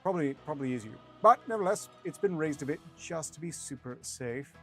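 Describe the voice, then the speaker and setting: A man's voice: on the high side, lively intonation, fast, pushed and bright, slightly rough. A man reading fiction aloud as a streamer, with dry, rambling asides in formal wording. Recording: music behind the voice.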